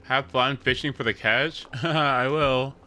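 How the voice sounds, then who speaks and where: A man giving casual, excitable gaming livestream commentary, exclaiming and swearing freely as he plays. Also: medium pitch, slow pace, soft background music. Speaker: live streamer playing a video game.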